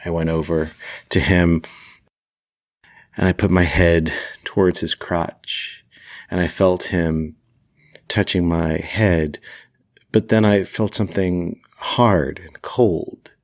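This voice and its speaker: Low-voiced man telling a personal story. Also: fairly even intonation. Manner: deliberate, dramatic pauses. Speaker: podcast host and storyteller.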